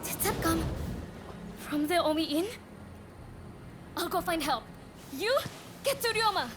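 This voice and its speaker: A woman's voice: very high voice, animated, unhurried. An actress playing a spirited, loyal young woman in a dramatic video game. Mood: alarmed. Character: spirited, warm young Japanese woman, loyal to her companions. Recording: music behind the voice.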